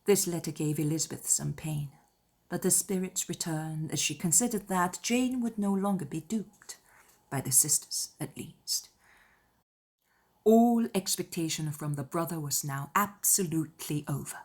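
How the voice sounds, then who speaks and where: A woman narrating a classic novel as an audiobook, in a measured, formal, scripted style. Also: sits low, darkish tone.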